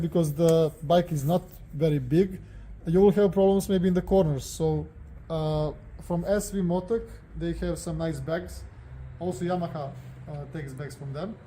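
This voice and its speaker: Serbian accent guy chatting casually about bikes, mellow voice, bit of background noise. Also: high-pitched, steady tone, normal speed. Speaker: motorcycle reviewer, host. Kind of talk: casual unscripted vlog talk.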